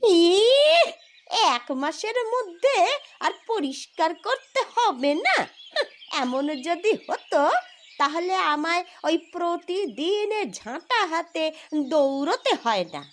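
A woman voicing a bossy old village grandmother in a cartoon, gruff but caring, nagging in rustic exclamations. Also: very high-pitched, sing-song, slow, a little hiss or hum, full character voice. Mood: grumbling. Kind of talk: cartoon story dialogue.

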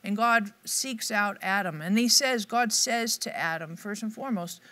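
A woman's voice: medium pitch, normal speed, bright, forceful tone. A middle-aged woman giving an upbeat, conversational devotional teaching to camera in a studio. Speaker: Bible teacher. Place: dark studio set, indoors.

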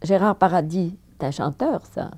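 An older woman from France with a Parisian accent, her low, mellow voice rising and falling a great deal. She speaks emphatically, repeating words for stress, as an actress looking back on her career in a sit-down interview at home. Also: normal speed, husky. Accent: Parisian French. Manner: animated, emphatic repetitions, frequent laughs. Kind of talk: reminiscing interview. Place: living room with fireplace, indoors.

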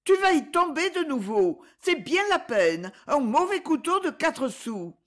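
A woman voicing an anxious, quick-tempered young girl in a storybook reading, calling out high and urgently. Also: very animated, fairly bright tone, heavily acted. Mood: exasperated.

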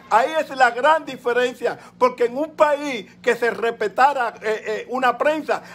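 Man in his 60s, Dominican Spanish accent, high-pitched, fiery and emphatic, phone held at arm's length, small room at home. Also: a bit bright. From Dominican Republic. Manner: fiery, emphatic, repeats words for force. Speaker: political commentator. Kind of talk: impassioned political rant on a live call.

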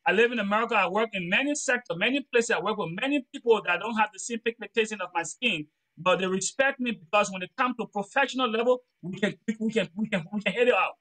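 A man's voice: sits high, fast talker, sharp tone, poor audio. A middle-aged man from Liberia with a Liberian accent, giving an earnest, preachy motivational talk during a training lesson and repeating his phrases for emphasis.

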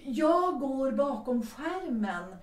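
A woman's voice: high voice, very animated. A woman playing a proud, resolute middle-aged widowed mother in a 1930s audio drama, composed, formal and commanding. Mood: cool, formal. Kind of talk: Swedish audio drama acting. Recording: roomy, distant from the mic.

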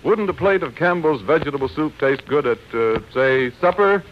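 Male 1930s American radio pitchman, smooth and persuasive, animated, with faint hiss on an old broadcast. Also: moderate pace. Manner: smooth persuasive salesman's delivery. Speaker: radio announcer. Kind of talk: 1930s radio sponsor announcement, scripted. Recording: dull, hissy 1930s radio recording.

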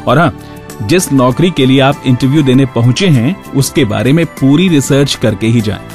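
A man's voice: mid-range voice, normal speed, a little mellow. A man hosting a radio show, reading scripted narration. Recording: music behind the voice. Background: background music.

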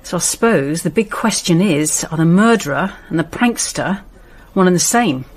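An actress playing an efficient British police detective in a radio play, speaking briskly and factually. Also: female voice, on the low side, lively intonation. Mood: businesslike.

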